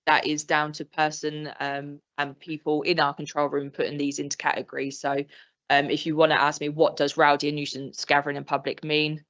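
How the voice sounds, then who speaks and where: A woman's voice: sits low, monotone, a little fast, fairly bright tone. An English woman, a police inspector, giving an informal briefing at a council meeting, with plenty of ums. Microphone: meeting room microphone. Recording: dull lo-fi council livestream audio.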